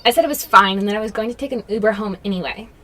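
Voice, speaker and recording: A woman's voice: mid-range voice, very fast, fairly bright tone, close to an everyday voice. A young woman acting in a student radio drama as a witness whose friend has gone missing. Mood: anxious, explaining.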